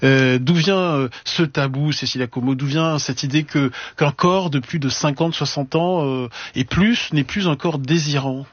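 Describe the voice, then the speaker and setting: A man hosting a radio panel discussion, putting measured questions with frequent hesitant fillers. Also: fairly high pitch, husky, no echo, dull audio. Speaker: radio host.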